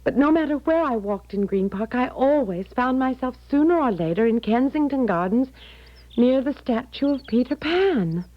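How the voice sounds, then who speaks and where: An actress playing a torn, flustered young American woman in a 1970s radio drama, halting and stammering as she speaks. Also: high-pitched, lively intonation. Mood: confiding. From American Midwest. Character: hesitant, torn young engaged American woman seeking advice. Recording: dull 1970s radio broadcast recording.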